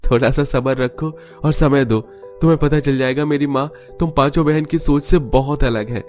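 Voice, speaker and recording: A man voicing a patient young husband in a cartoon story, calm and reassuring. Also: medium pitch, normal speed, faint music behind, pitched above the performer's own voice, lightly acted. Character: patient young husband defending his stingy mother.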